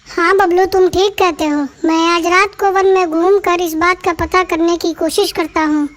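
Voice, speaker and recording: A woman's voice: very high-pitched, fairly even intonation, moderate pace. A woman voicing a small, eager male rabbit who used to work as a spy and wants to help, in a cartoon story. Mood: earnest. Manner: eager, helpful. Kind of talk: cartoon voice acting.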